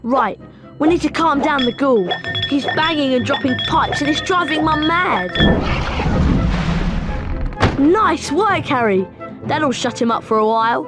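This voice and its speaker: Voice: high-pitched, expressive, moderate pace, over loud music. An actor playing an eager, friendly young wizard boy with a British accent in a video game, chummy and informal. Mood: urgent, friendly. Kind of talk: video game character acting.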